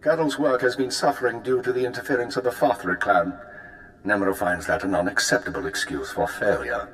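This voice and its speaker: An actor playing a formal imperial intelligence officer giving orders. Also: male voice, fairly low pitch, brisk, a bit bright, natural voice, rough recording. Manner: crisp, formal briefing.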